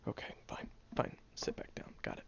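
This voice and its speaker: A man playing a brash, defensive young man with a secretly caring side in an audio roleplay, speaking bluntly and haltingly in a low, gravelly voice. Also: in a whisper, close to an everyday voice. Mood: flustered, gruff. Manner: blunt, grumbling, sighing, halting delivery. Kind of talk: audio roleplay acting, one-sided dialogue addressed to the listener. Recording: dull home recording with faint noise.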